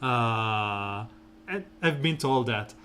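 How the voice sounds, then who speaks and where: A man streaming a mobile game, talking through team strategy in a relaxed, rambling way with lots of fillers and a soft, smooth voice. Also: a little hiss or hum. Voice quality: soft, smooth and deep when slow. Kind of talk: casual gameplay commentary.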